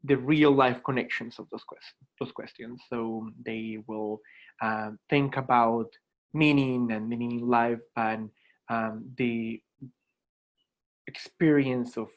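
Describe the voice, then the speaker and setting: A man in his thirties from Colombia, a native Spanish speaker with a Colombian accent, introducing himself to students as a lecturer in a reflective, halting way. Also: mid-range voice, poor audio. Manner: reflective, halting, with repeated words. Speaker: adjunct philosophy lecturer. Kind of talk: informal self-introduction to students.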